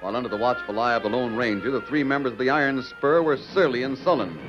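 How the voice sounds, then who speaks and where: Old timey radio narrator guy, dramatic n vivid, dull recording, music loud under him. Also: medium pitch, quick pace. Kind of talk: dramatic scripted 1940s-style radio narration.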